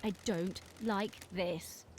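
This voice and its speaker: A woman voicing a self-assured, cool female detective in a video game, with terse, measured lines. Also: mid-range voice, fairly even intonation, a little hiss or hum. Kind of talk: short game voice barks. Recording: loud music over the voice. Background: loud game music.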